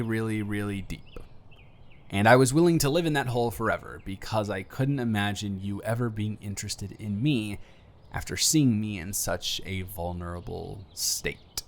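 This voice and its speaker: A man's voice: on the low side, lightly acted. A man playing an earnest, anxious young man confessing love to a close friend in a hesitant, vulnerable way, in an intimate audio roleplay. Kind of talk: emotional roleplay audio acting, intimate monologue to the listener.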